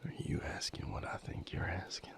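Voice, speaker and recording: A man playing an intense, brooding vampire lover in an intimate audio roleplay, speaking in a gravelly, breathy near-whisper. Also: lightly acted. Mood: tender, wound up. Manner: slow, intimate, emotionally intense.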